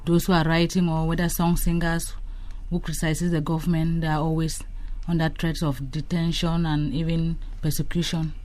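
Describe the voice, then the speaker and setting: A woman's voice: deep voice, flat delivery, normal speed, hardly any pauses, a little mellow. A woman from Cameroon, speaking as an interview guest in a radio studio. She speaks earnestly and often stops and restarts her sentences. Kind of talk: telling her own story in a radio interview.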